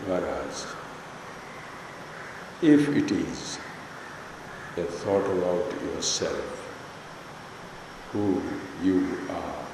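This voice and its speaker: A man with an Indian accent leading a guided meditation talk as a spiritual teacher, speaking in measured, deliberate short phrases with pauses. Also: low voice. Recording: echoey hall, long reverb, background noise.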